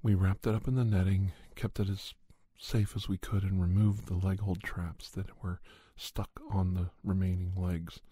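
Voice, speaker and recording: A man playing a grave, gentle doctor who recounts paranormal creature hunts in a soft, husky ASMR storytelling style. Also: low voice, flat delivery, moderate pace, few pauses, no echo. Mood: somber. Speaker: storyteller, podcast narrator.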